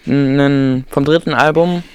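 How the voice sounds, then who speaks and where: A man's voice: mid-range voice, steady tone, a little slow, darkish tone, clear, resonant tone, no room sound. A young man, chatting casually as a co-host in an understated way. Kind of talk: casual chat.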